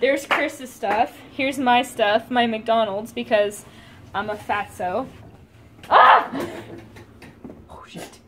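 Young woman vlogger, high-pitched and excitable, recorded on a handheld phone. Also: slow, long pauses, a little hiss or hum. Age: young adult. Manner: bubbly, giggly, excitable. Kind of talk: casual vlog chat. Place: car, then small hotel room. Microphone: phone held at arm's length.

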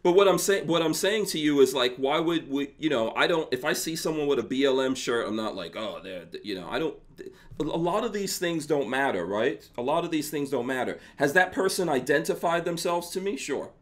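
Guy in his 40s from guyana hosting from his home studio, bouncy pitch, rambles and laughs a lot. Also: lively intonation, a little fast. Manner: loose, rambling, laughs often. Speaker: host. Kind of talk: casual livestream chat. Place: home studio with sticker wall. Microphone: headphones with desk mic.

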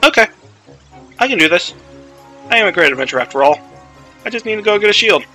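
Man playing anxious boy adventurer, boastful, whiny, high-pitched, piercingly bright, video game story. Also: expressive, fast talker, faint music behind, rough recording. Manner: whiny, nervous. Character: anxious boy hero, boastful yet easily frightened.

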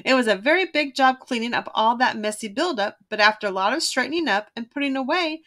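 A woman narrating a children's storybook aloud in an expressive, emphatic way. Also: a little high, fast.

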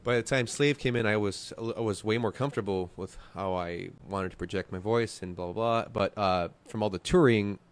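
A man's voice: lowish voice, moderate pace, hardly any pauses. A man talking easily on a podcast as a band's singer, laughing often and filling with "you know", at a desk mic in a small studio. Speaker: band vocalist guest. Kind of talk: casual podcast chat. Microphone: desk mic with headphones.

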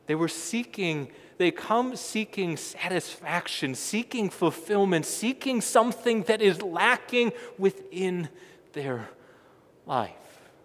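A man's voice: high voice, expressive, slow. A middle-aged man preaching a sermon as a pastor, emphatic and repeating phrases as he swells and falls in volume. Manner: emphatic, repetitive phrasing, swelling loud then dropping soft. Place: large church sanctuary, indoors. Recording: long church reverb.

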